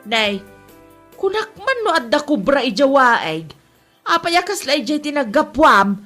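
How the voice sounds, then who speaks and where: A woman acting a worried, fed-up mother from the village. Her voice sits a little high and carries an Ilocano Filipino accent, in comedy audio drama style. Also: very animated, unhurried, pushed and bright, soft background music, exaggerated voice. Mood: alarmed. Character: exasperated, worried village mother of a grown son.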